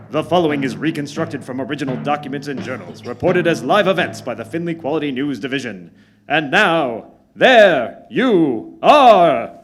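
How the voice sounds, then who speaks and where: A man playing a grandly dramatic old-time radio announcer, reading scripted narration with theatrical weight. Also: a little high. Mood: portentous.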